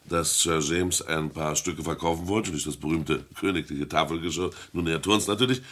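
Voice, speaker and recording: An actor playing a formal, discreet middle-aged auction-house partner in a German radio crime play. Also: male voice, a bass voice, moderate pace, fairly bright tone. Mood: grave, confiding. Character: courteous, measured male London auction-house partner.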